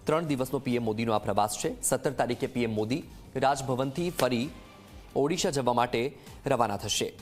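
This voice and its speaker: Male news anchor, mid-pitched voice, live bulletin delivery over background music. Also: normal speed. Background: music bed under the voice.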